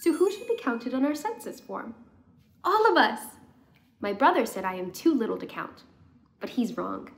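A woman's voice: a little high, very animated, moderate pace, creaky. A young woman reading the part of a friendly little girl in a children's storybook, eager and simple in her explaining. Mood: proud, eager.

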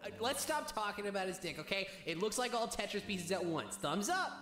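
A man's voice: sits high, very animated, a little fast, crowd noise. A man playing a cocky, goofy young hedgehog hero, breezy and crude, in an improvised comedy game dub.

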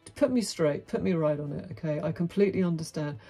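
A middle-aged Englishwoman with a deep, husky, mellow voice talks to camera in a small, dim indoor room. She is frank and outspoken, with a dry, wry edge. Faint music plays behind her. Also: fast. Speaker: vlogger. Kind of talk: candid monologue to camera.

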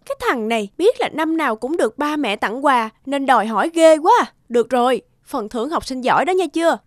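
A woman voicing a caring, gently chiding young mother in an animated cartoon. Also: high voice, brisk, no room sound, studio quality. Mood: indulgent.

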